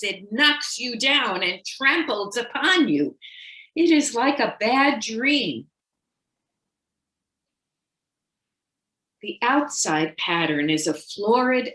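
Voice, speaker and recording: A woman reading a first-person story as a confiding, fixated, increasingly paranoid Victorian wife, dramatic and deliberate, with loaded pauses. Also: a little high, bright, forceful tone, reverberant, exaggerated voice. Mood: agitated, exasperated.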